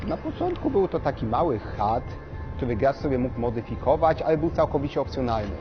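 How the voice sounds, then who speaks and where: A man's voice: medium pitch, big pitch swings, quick pace. A man working as a game developer, explaining his work in an interview for a promotional video. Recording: muffled, with loud music behind. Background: loud music.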